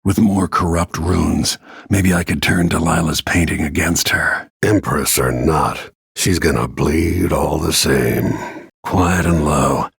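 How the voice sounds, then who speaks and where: A grim middle-aged assassin from a video game, with a very low voice full of gravel and heavy rasp. He is weary and restrained, and delivers terse, dramatic remarks. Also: fairly even intonation, slow pace, dry recording, clean studio sound, exaggerated voice. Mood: bitter, menacing. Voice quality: gravelly, husky. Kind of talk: scripted game voice lines, short dramatic remarks.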